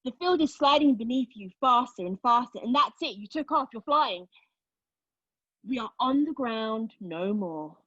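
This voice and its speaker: A woman acting a dramatic monologue as a wounded, reflective woman, telling her story intimately with pauses and emphasis. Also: a little high, lively intonation, a bit bright, no echo, muffled recording. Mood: excited, absorbed. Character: wounded, reflective woman recounting lost love and travel. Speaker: actor in a play table read.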